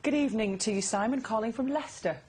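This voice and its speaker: A woman's voice: fairly high pitch, fast, a little mellow, breathy edge. A woman hosting a live call-in broadcast, prim, polite and composed. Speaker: TV call-in host. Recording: faint noise on broadcast clip.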